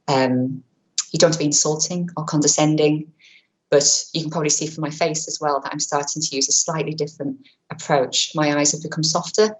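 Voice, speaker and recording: Woman, low register, webinar presenter; brisk, chatty, self-correcting delivery on a webcam mic. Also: low-quality recording. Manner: quick, chatty, self-correcting, many fillers; says she has a powerful voice. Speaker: webinar presenter on vulnerable clients. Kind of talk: online training talk.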